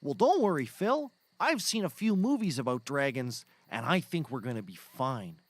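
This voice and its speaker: A man's voice: mid-range voice, big pitch swings, normal speed, no room sound. A man playing a naive, eager, earnest young male sidekick in a scripted audio comedy.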